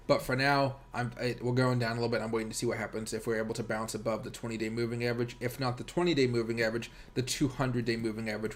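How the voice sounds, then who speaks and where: A man with an American accent talks very quickly in a mid-range voice. He sounds like a casual livestream host, rambling informally as he chats with viewers and comments along the way. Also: mid-range voice. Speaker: livestream host and crypto trader.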